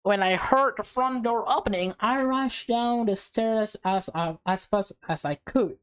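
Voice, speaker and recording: A woman's voice: fairly high pitch, measured pace, clean tone. A woman reading visual novel text aloud as a let's player, with casual commentary mixed in.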